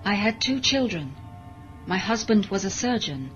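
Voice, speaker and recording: A woman's voice: medium pitch, sing-song, moderate pace, fairly bright tone. A woman playing an emotionless, modified cyborg servant in a science fiction audio drama, speaking in a formal, precise, deferential way. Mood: flat, detached. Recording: dull lo-fi audio drama recording, music behind.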